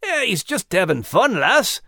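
Man voicing an easygoing, animated Scottish dog. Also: high-pitched, a little slow, dry recording, clean studio sound, pitched above the performer's own voice, exaggerated voice. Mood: reassuring.

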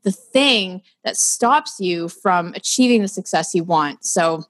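A woman's voice: fairly low pitch, lively intonation, unhurried, a bit bright, low-quality recording. A Canadian woman hosting a podcast, chatty and enthusiastic, with many fillers. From Ottawa, Canada. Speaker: podcast host. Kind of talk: casual podcast interview.

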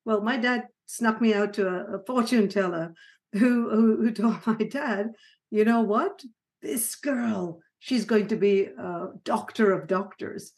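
A middle-aged woman born in Sri Lanka and raised in Ghana, talking through her life story as a podcast guest, with soft laughs and frequent restarts. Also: mid-range voice, measured pace. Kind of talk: conversational storytelling interview.

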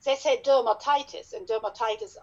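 A woman's voice: a little high, darkish tone, muffled recording. German-accented woman, guest dermatologist. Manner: careful, explaining step by step with pauses. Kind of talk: explanatory podcast interview.

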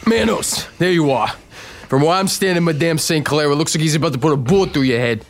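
An actor playing a brash, sneering 1930s mob boss in a radio drama, with a slangy, taunting New delivery. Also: male voice, a little high, a little fast, slight background noise, close to an everyday voice. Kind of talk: period radio drama acting.

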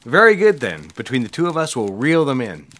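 An actor playing a cold, controlling middle-aged executive boss in an audio drama, measured and commanding. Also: male voice, medium pitch, big pitch swings, a bit bright, no echo.

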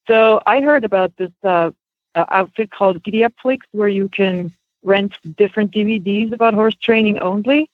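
A woman's voice: medium pitch, normal speed, no room sound. Woman on a thin phone line. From Switzerland. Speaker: interview guest, horse-training student. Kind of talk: casual personal storytelling. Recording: band-limited phone line.

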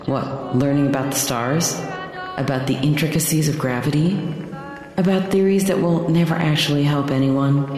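A low-voiced, contemptuous mother played by a woman, wrapped in heavy, cavernous reverb. She speaks precisely and cuttingly, as an audio drama villain tearing down her son. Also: normal speed, darkish tone, faint music behind, close to an everyday voice. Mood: scornful. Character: cold, scornful mother belittling her grown son. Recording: cavernous echo, long reverb.

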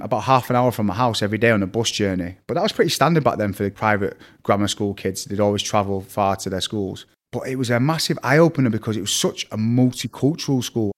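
A young man with a strong Mancunian accent, telling stories as a podcast guest in fast, scattered casual chat. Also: fairly low pitch. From Manchester, England. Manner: fast, scattered storytelling with fillers.

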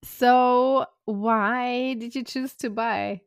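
A woman hosts a podcast, asking questions in a relaxed, curious way full of fillers. Her voice sits a bit high and sounds smooth, and she talks slowly. The sound is dry and close. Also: dry recording. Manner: chatty, curious, many fillers. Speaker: podcast host. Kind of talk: casual interview chat.

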